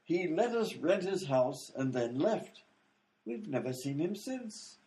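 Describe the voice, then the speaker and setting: A man playing a genial, flustered, old-fashioned gentleman with a polite, fussy manner in a comedic radio play. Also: a little high, sing-song, slow pace.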